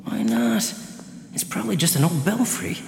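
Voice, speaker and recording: Bold, wry explorer in echoing halls. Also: male voice, fairly high pitch, big pitch swings, normal speed, vocal fry. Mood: impatient, coaxing. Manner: wry, bantering, coaxing. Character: bold, teasing male explorer with dry wit. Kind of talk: radio drama acting. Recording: echoey reverberant hall, music behind.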